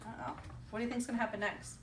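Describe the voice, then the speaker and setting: A woman's voice: fairly high pitch, racing. A woman reads a picture book to young children like a teacher, stopping to prompt them with questions and echo their replies. She is recorded at some distance in a roomy, echoing space with faint background noise. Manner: prompting, repeats children's answers back.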